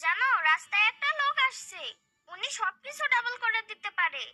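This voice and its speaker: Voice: extremely high pitch, animated, moderate pace, bright, forceful tone, soft background music, full character voice. A performer voicing a curious, cheeky, credulous little boy in a cartoon, chirpy and inquisitive. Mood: excited, insistent.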